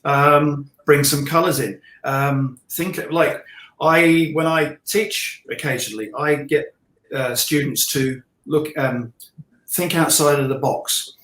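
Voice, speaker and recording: A man's voice: on the high side, steady tone, slow pace, fairly bright tone. A middle-aged man chatting casually as a guest illustrator, easygoing, with self-deprecating humour. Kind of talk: casual online live chat. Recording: echoey room sound.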